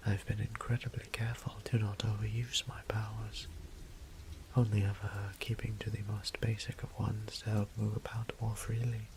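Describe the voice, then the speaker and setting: Male actor as weary vampire priest, low gravelly murmur, courteous, old-fashioned formal diction, restrained melody, audio drama. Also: little pitch movement, normal speed, darkish tone, a little hiss or hum, close to an everyday voice, poor audio. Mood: troubled, resolute. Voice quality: breathy, gravelly near-whisper. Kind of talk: scripted audio drama monologue.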